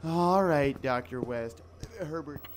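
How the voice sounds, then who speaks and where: An actor playing an anxious, earnest, slow-witted tunnel worker in an audio drama, halting and folksy. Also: medium pitch, slight background noise, natural voice. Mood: worried.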